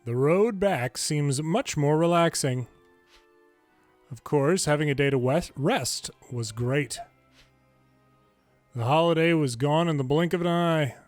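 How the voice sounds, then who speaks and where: Higher-pitched man reading game dialogue aloud. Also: measured pace, pauses often, darkish tone, no room sound, faint music behind. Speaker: gameplay video host reading subtitles aloud. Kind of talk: English read-aloud of game dialogue with casual asides.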